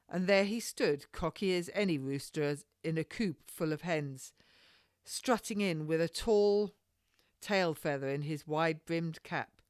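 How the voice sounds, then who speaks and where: A woman's voice: sits low, darkish tone, no room sound, studio quality. A woman author reading her own historical novel aloud as informal, scripted storytelling. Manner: unpolished, with stumbles and self-corrections. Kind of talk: informal audiobook narration.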